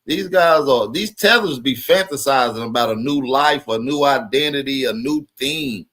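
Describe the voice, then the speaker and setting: A man's voice: mid-range voice, normal speed, a bit bright. A man co-hosting a livestream, adding sarcastic, mocking commentary about callers.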